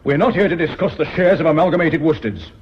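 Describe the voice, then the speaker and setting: A man's voice: on the high side, quick pace. An actor playing a blunt, overbearing businessman in a mid-century radio drama, curt and scornful in manner. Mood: impatient, blustering. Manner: gruff, curt, bullying. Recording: dull vintage radio recording.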